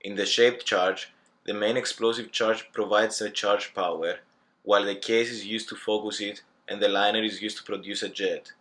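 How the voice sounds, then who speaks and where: A young man reads a student engineering presentation. His voice sits low and stays flat, and he takes each phrase carefully, as if reading from notes. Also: moderate pace. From Greece. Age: young adult. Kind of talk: scripted class presentation.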